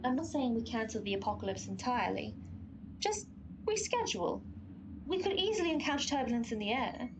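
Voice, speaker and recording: Pestilence's horse: scheming, grandiose radio-comedy schemer, highish voice, noisy soundscape behind. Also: female voice, sing-song, a little fast. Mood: coolly persuasive. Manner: grandiose, silky, persuasive. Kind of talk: comic radio play acting.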